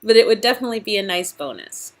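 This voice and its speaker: A woman's voice: medium pitch, very animated, fast, lightly acted. Woman playing an earnest young aspiring actress. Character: starry-eyed young aspiring actress from Iowa, earnest and teasing. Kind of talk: dramatic reading of visual novel game dialogue.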